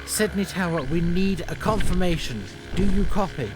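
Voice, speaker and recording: A woman's voice: on the low side, normal speed, close to an everyday voice. A woman acting a businesslike, tense airline crew member in a fan audio drama, formal and clipped. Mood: tense, urgent. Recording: loud music behind.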